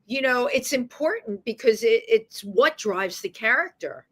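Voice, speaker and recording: A woman's voice: a little high, lively intonation, a little slow, slightly rough. A woman from the Bronx, hosting a radio interview in a chatty, rambling way. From the Bronx, New York. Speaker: radio talk show host.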